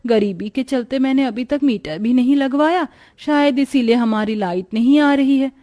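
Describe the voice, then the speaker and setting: A woman's voice: high voice, normal speed, mellow, close to an everyday voice. A woman voicing a poor, weary, devoted village mother in a cartoon story, speaking tenderly, earnestly and plaintively. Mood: tender, resigned.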